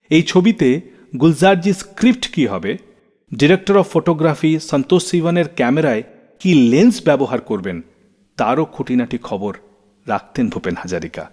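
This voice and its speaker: A man's voice: fairly high pitch, darkish tone. A man hosting a radio show, telling stories about music in scripted narration. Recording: long reverb, faint music behind. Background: faint music.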